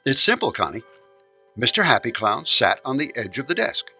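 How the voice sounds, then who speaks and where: An actor playing a kindly, gently stern older man in a children's radio drama. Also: mid-range voice, very animated, faint music behind, full character voice. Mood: knowing. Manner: measured, theatrical, gently admonishing.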